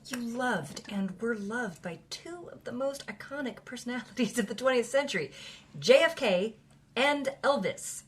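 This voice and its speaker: Animated, higher-pitched woman interviewer. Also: normal speed, breathy edge. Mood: admiring, curious. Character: eager, admiring woman interviewing an old star. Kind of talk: dramatized interview.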